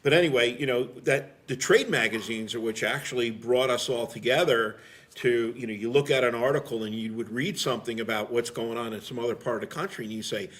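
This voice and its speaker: A man's voice: medium pitch, brisk, a bit bright. Middle-aged man chatting casually, rambling and emphatic. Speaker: firefighter guest. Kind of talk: casual chat.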